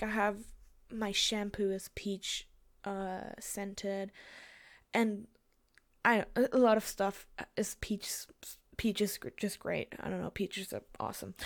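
A young woman with a German accent and a slight lisp, casually answering listener questions with frequent fillers and giggles. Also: mid-range voice. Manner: hesitant, giggly, lots of fillers. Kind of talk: casual chat.

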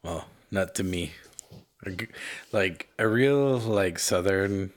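A man with a very deep voice chats in a laid-back, joking way. He speaks unhurriedly. This is a casual co-hosted podcast conversation. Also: takes it slowly. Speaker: podcast co-host. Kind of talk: casual chat on a call.

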